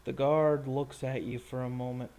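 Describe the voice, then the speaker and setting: A man acting as game master, improvising scene descriptions for a tabletop roleplaying game in a halting way. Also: medium pitch, flat delivery, darkish tone.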